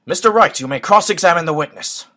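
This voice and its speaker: A man playing a pompous old courtroom judge in an amateur fan dub of a game, formal and ponderous, with a husky voice. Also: a little high, animated, fast, bright, forceful tone. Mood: pondering. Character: pompous, deliberating old male courtroom judge, easily swayed.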